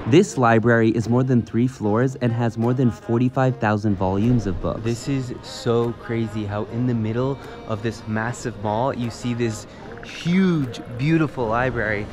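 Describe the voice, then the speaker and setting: Casting: man in his 30s, travel vlogger, upbeat and curious, handheld camera sound, soft music underneath. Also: medium pitch. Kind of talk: travel vlog with voice-over narration. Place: large indoor shopping mall. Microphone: action camera held at arm's length.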